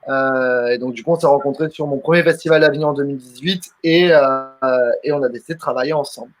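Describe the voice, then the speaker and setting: A man's voice: medium pitch, quick pace. A man in his twenties, a comedian from southern France, answering interview questions in a chatty way with many fillers and restarts. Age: 20s. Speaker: guest comedian.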